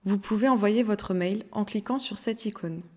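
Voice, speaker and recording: A woman narrating a scripted software tutorial in an instructional, step-by-step way. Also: mid-range voice, moderate pace.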